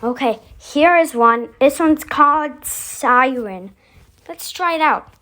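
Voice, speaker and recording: A high-pitched voice hosts a casual vlog at an unhurried, slow pace. The speaker rambles along, thinking aloud between one remark and the next. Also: expressive, background noise. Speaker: video host.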